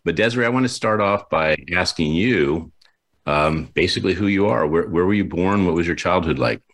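A man's voice: deep voice, fairly quick, a bit bright. A man hosting an interview show, upbeat and enthusiastic, often repeating words as he talks. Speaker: podcast host. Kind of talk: casual interview chat.

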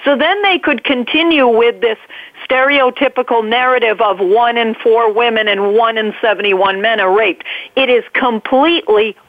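A woman with a New England accent speaks in a fairly high voice and presses her argument hard, stacking point on point. She comes through a thin, tinny phone line with a dry, close sound. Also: no echo. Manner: emphatic, fast-flowing, piles up points. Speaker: guest advocate. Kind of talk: earnest argument on a call-in show.

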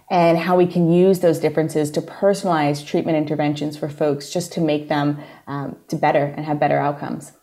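A woman with a New York accent talks through her work in a steady, plain-spoken way. Her voice sits low and has a mellow, soft tone. She is a guest speaking in a relaxed conversation. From New York area, USA. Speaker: guest psychologist. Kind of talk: casual interview conversation.

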